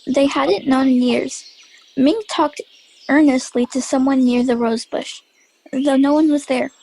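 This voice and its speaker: Female drama narrator. High voice with creak, faint noise and birdsong behind. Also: steady tone, measured pace, darkish tone, low-quality recording. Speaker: story narrator.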